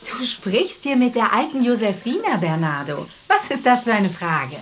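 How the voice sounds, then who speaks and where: Radio play: kindly old female confidante, earnest, coaxing, animated intonation. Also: a little high, normal speed, a little hiss or hum. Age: older. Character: kindly, encouraging old woman, boy's confidante.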